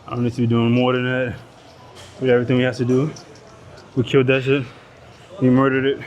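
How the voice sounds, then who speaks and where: A man's voice: medium pitch, monotone, fast, darkish tone, muffled recording, slight background noise. A man from Nigeria with a Nigerian accent, speaking English as his second language and chatting casually to the camera mid-workout in a slangy, joking, sometimes profane way, recorded on a clip-on mic. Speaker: fitness vlogger. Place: busy indoor gym. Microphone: clip-on body mic. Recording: gym chatter behind.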